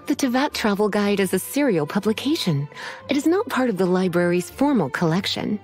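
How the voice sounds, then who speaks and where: A woman's voice: medium pitch, very animated, normal speed, lightly acted. A woman playing a poised, languid librarian mage in a video game, formal in wording, teasing and faintly menacing. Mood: teasing, pleased. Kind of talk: video game character acting. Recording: music behind the voice.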